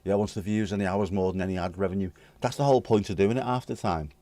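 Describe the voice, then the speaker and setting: A hoarse man with a British accent, chatting casually with viewers on his livestream and swearing bluntly. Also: sits low, fast, mellow, no room sound. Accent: British English. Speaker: livestream host. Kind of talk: casual chat reading viewer comments.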